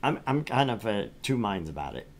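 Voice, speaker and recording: Deep-voiced man joking on a game stream. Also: big pitch swings, fairly quick. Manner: joking, informal. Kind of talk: casual livestream chat while playing and reading a game.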